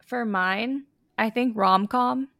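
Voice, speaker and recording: A woman's voice: a little high, takes it slowly, vocal fry, a bit bright, no room sound, studio quality. A young adult woman hosting a casual podcast chat, her voice scratchy and a bit sniffly from allergies, with lots of fillers. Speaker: podcast host.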